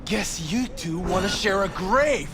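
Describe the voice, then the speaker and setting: High-pitched, blunt nomad tough. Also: male voice, lively intonation, measured pace, over music. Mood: angry. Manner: blunt, rough slangy talk. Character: hot-tempered, tough male nomad biker, threatening. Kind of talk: video game voice acting.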